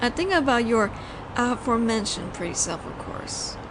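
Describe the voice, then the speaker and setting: A woman's voice: fairly high pitch, very animated, normal speed, creaky. A woman playing a flirtatious, teasing mafia boss in an audio roleplay, talking in a playful, conversational storytelling way. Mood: playful, affectionate. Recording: noisy outdoor city ambience, traffic.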